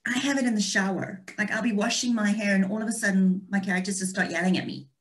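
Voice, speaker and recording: A woman's voice: mid-range voice, steady tone, quick pace. Wry woman chatting casually. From South Africa and Austria. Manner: wry, playful. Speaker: author, interview guest. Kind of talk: casual chat. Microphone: video call.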